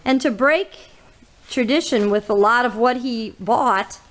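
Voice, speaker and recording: A woman, hosting a casual auction walkthrough in an old barn full of cars, listing items with little "uh" pauses. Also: on the high side. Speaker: auctioneer host giving a car walkthrough. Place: inside old barns and sheds full of cars.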